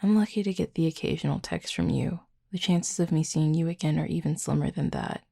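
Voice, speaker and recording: Woman voicing a husky, flirty werewolf, deeply gravelly. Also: dark tone, full character voice. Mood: flirty teasing. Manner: dry, teasing, unhurried, wordy. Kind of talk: intimate audio roleplay, late-night chat.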